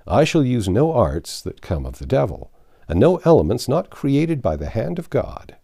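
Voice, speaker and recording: A man's voice: medium pitch, big pitch swings, normal speed, vocal fry, dark tone. A man reading a storybook, playing a confident, wry magician who reasons with polite self-assurance. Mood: firm, wry.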